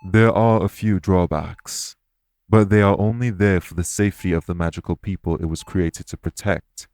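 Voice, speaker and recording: An actor playing a courteous, remorseful immortal elven king in an audio roleplay, speaking in formal, measured phrases. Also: sits low, steady tone, darkish tone. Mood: calm, earnest. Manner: formal, measured, stately wording. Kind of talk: scripted audio roleplay acting.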